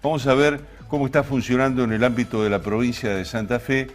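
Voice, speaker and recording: A man's voice: mid-range voice, steady tone, fast talker. An Argentine man presents a television talk show in a mid-range voice. He explains at length in a conversational way, often rephrasing his thoughts. Faint music plays behind him. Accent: Argentine Spanish. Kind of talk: conversational programme introduction to camera.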